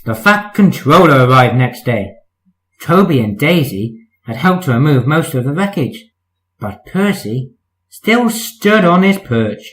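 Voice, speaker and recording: A man's voice: medium pitch, very animated, unhurried, a bit bright, poor audio. A man narrating a scripted children's story as a storyteller.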